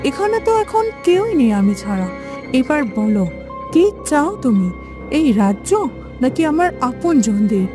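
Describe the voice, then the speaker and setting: A woman's voice: high voice, big pitch swings, measured pace, music in the background. A woman voicing a jealous, scornful young princess in a cartoon fairytale, with a sarcastic, mocking manner.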